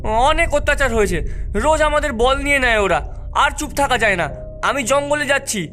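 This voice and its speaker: Woman voicing a bright, bold, indignant child. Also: high-pitched, expressive, normal speed. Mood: indignant, determined. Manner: firm, demanding. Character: bold, indignant child cricketer standing up to ghosts. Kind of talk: Bangla cartoon voice acting. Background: faint music.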